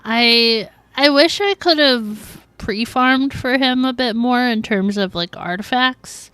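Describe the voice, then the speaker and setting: A woman streams a game in a casual, giggly way. She talks at a relaxed, slower pace and reacts out loud. Her recording is close and dry, with little room sound. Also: mid-range voice, smooth, dry recording. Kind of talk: casual live game commentary.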